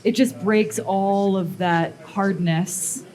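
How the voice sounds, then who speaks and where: A woman demonstrates metalwork in a casual, informal way, with a medium-pitched voice. She explains each step haltingly, thinking out loud as she works. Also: steady tone. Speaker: metalworking artist giving a demonstration. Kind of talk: casual live workshop demo, explaining steps. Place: live blacksmithing demonstration before onlookers.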